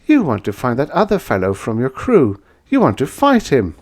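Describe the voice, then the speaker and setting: Brief: man doing a jittery young woman, voice pushed well up, lots of pitch swing. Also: wildly swooping pitch, normal speed. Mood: knowing. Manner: anxious, questioning. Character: frightened young woman in a bar.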